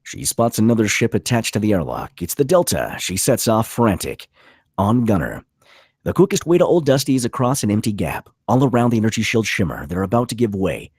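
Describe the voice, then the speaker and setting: A man's voice: on the low side, sing-song, fairly quick, darkish tone, husky, no echo, studio quality. A man reading stage directions as dramatic scripted narration, in punchy, clipped phrases. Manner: punchy, clipped delivery with voiced sound effects.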